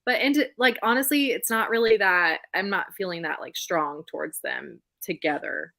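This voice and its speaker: A woman's voice: on the low side, moderate pace, gravelly, sharp tone. A woman co-hosting a casual book discussion, talking in an informal, gushing way. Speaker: book club live show co-host.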